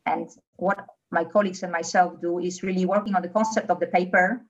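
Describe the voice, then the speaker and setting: A woman's voice: lowish voice, fairly even intonation, brisk, lo-fi sound. A young woman from Poland with a Polish accent, speaking as a guest on a podcast interview, hesitant with many fillers. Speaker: engineer guest.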